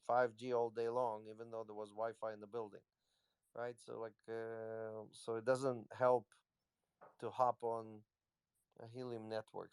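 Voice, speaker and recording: A man's voice: medium pitch, darkish tone, smooth, dry recording. A man, a company executive, casually answering questions on a live audio chat in a loose, rambling way. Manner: rambling, loose, lots of "you know".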